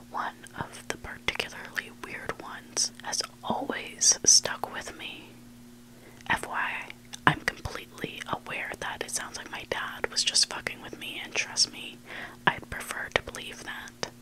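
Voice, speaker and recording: An ASMR storyteller who reads entirely in a soft, breathy whisper. The delivery is hushed and deliberate, moving through a scripted story in short, separate phrases. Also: low-quality recording. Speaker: ASMR reader of online stories.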